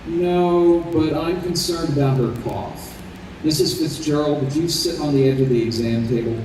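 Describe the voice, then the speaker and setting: A man playing a kindly, grave doctor in his fifties in an old time radio drama, gentle and deliberate. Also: on the high side, natural voice. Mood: concerned. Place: convention hall stage. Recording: echoey hall, distant mic, faint noise.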